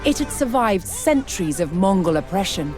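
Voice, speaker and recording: A woman's voice: medium pitch, big pitch swings, moderate pace. Woman narrating history dramatically over music. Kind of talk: scripted historical documentary narration for a strategy game. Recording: music under the voice. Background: background music.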